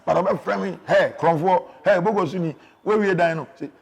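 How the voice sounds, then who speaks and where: A man with a Ghanaian accent and a deep voice, a media personality telling stories in an animated interview and raising his voice for emphasis. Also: moderate pace, creaky. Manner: switches between calm storytelling and bursts of loud emphasis.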